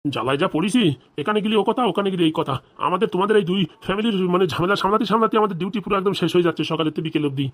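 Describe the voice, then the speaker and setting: A woman's voice: lowish voice, racing, lightly acted. A woman plays an exasperated cartoon police officer. The questioning is nagging and accusing, delivered at a breakneck pace with a weary edge. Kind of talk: comic cartoon dialogue.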